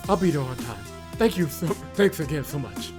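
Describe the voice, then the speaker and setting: A man's voice: fairly high pitch, big pitch swings, brisk, voice pushed up. A man voicing a flustered, eager young female employee in a visual novel, nervous, stammering and deferential. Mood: grateful, embarrassed. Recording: loud game music behind voice.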